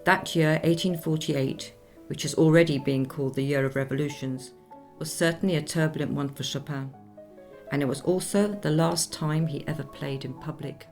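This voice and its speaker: Dignified swedish opera star in a radio drama, deep gravelly-edged voice, formal storytelling. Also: female voice, steady tone, a little mellow, soft background music. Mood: reflective, measured. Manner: measured, formal, reflective storytelling. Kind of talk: radio play monologue.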